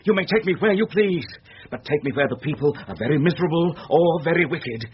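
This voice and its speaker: An actor playing a haunted, embittered gentleman who speaks gravely and imperiously in an old radio drama. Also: a little high, expressive, brisk, close to an everyday voice. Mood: commanding, urgent. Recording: muffled noisy old radio recording.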